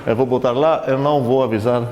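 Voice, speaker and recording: A man's voice: medium pitch, fairly even intonation, fast talker, a little mellow. Foreign-accented male lecturer, conversational, quizzes the class, recorded in a reverberant hall with faint background noise. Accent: foreign accent in Portuguese. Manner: conversational, asks the class questions, self-correcting. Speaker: university math lecturer.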